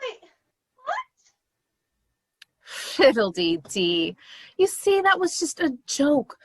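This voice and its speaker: Actress as eager high-voiced theater costume lady. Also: big pitch swings, slow pace, no echo, studio quality, exaggerated voice. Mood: flustered. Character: eager attention-seeking amateur theater costume and makeup woman. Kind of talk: comedic web series acting.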